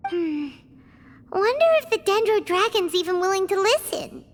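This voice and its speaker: A woman voicing a tiny, excitable, anxious fairy companion in a video game, with a squeaky childlike voice. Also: normal speed. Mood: curious, doubtful. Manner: refers to herself in third person, exclamatory.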